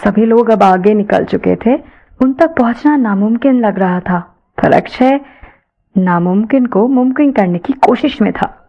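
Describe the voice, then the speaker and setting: A woman's voice: mid-range voice, unhurried, dull audio. A woman narrating an audio story in a dramatic, scripted style.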